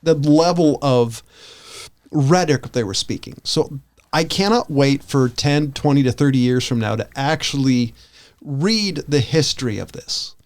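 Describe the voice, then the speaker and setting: A man co-hosting a podcast, talking through his opinions casually in a halting, rambling way with frequent restarts. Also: mid-range voice, a little mellow. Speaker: podcast co-host.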